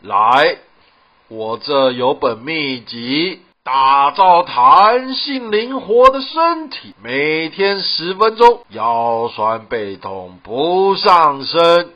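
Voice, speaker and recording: A man playing a mysterious old martial arts master in a comedic radio play, making a portentous, archaic sales pitch. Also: exaggerated voice. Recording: slightly muffled, music behind.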